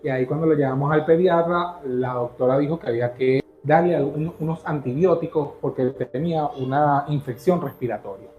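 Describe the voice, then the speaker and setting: A man's voice: a little high, phone-quality sound. Earnest venezuelan man, echoey room. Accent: Venezuelan Spanish. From Caracas, Venezuela. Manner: earnest, halting, with many restarts. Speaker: interview guest. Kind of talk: personal storytelling in an interview.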